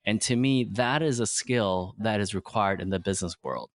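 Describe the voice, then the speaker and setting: A man's voice: fairly low pitch, moderate pace. A man in his forties whose first language is Vietnamese, a podcast guest explaining ideas earnestly in simple phrases. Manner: earnest, simple phrasing, frequent "right?" tags. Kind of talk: motivational interview talk.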